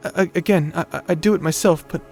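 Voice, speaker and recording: A man playing a shy, nerdy, excitable young wizard in an audio roleplay, with a stammering, flustered, self-doubting manner. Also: a little high, fast, gravelly, soft background music. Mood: uneasy, apologetic. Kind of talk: scripted audio roleplay acting.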